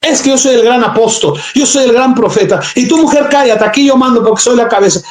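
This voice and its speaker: A preacher mocking a bossy arrogant pastor. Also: male voice, very high voice, echoey room. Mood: boastful. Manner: boastful, commanding, rushed.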